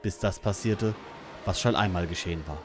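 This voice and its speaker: A man's voice: low voice, moderate pace, music in the background. A man reading scripted narration for an audio drama.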